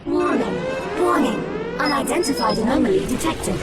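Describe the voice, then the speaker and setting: Clipped starship computer. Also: female voice, sits high, moderate pace, very noisy. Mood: mechanical. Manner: flat, clipped, mechanical.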